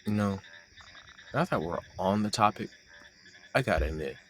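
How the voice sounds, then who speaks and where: A man playing a shy, teasing young man secretly in love with his friend, in an intimate audio roleplay with a halting delivery. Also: fairly low pitch, expressive, slight background noise, lightly acted. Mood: teasing, earnest.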